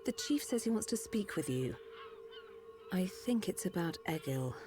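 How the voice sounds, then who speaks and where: Kindly, capable female engineer, composed, gently low pitch, faint background noise, game drama. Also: very animated, normal speed. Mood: caring. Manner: reassuring, composed. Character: kind, calm, capable female engineer of machine people. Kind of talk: video game cutscene acting.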